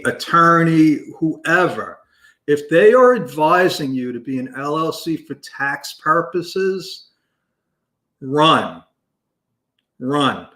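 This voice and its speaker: Man, business show host, loud, passionate, emphatic, slightly high voice, unhurried. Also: echoey room. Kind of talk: unscripted talk to camera.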